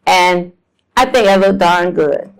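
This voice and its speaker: Slow-talking older woman in her seventies. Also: fairly low pitch, background noise. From South Florida, US. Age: 70s. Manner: slow, homespun, with filler ums and self-deprecating humor. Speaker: guest speaker. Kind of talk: storytelling talk to an audience. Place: lecture room with a live audience.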